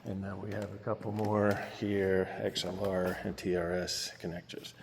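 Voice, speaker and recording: A man's voice: low-pitched, monotone, normal speed, gravelly, slight background noise. A man narrating a casual walkthrough video in a large auditorium, explaining things informally as a project presenter. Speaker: nonprofit staffer presenting a project. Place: large school auditorium with balcony, indoors.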